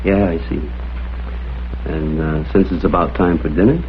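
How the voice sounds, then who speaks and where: An actor playing a hard-boiled American private detective, dry and terse, in a vintage crime drama. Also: male voice, low-pitched, steady tone, normal speed, a little hiss or hum. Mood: wry, suspicious. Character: hard-boiled male private detective, wry, probing, coolly persistent. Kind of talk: mid-century American crime drama acting and narration. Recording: tinny vintage soundtrack, faint music behind.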